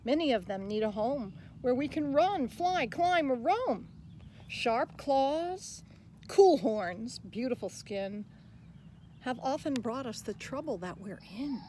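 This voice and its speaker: A woman presenting a children's picture book in an expressive read-aloud style, speaking directly to young listeners. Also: sits high, slow. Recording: clear background noise, animal sounds.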